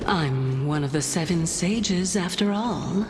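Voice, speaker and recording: A woman's voice: on the low side, animated, slow pace, heavy background noise. An actress playing a playful, teasing, self-assured female sage in a video game, with music under her voice. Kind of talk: video game character acting.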